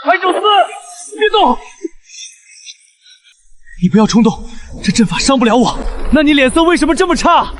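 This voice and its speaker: Anguished, headstrong youth for period fantasy melodrama, extremely high register, emotional delivery. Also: male voice, big pitch swings, normal speed, long pauses, fairly bright tone, full character voice. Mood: alarmed. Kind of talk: emotional Chinese audio drama acting.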